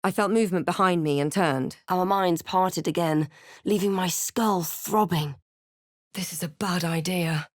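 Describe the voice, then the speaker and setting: Female adventurer for a fantasy RPG: slightly low voice, resolute, thoughtful, dryly snarky, clean studio sound. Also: unhurried, no room sound. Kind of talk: dramatic video game narration and character lines.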